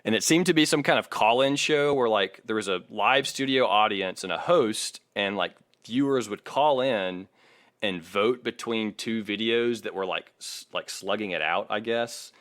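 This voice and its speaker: A man's voice: on the low side, normal speed, a bit bright, no echo, clean studio sound. A man co-hosting a podcast, narrating music history casually and informally with an amused streak. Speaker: podcast co-host.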